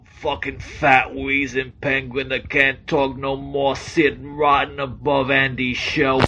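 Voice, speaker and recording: A man's voice: mid-range voice, level tone, slow, close to an everyday voice. A man voicing a bitter, foul-mouthed, contemptuous character, in a dull recording with music behind.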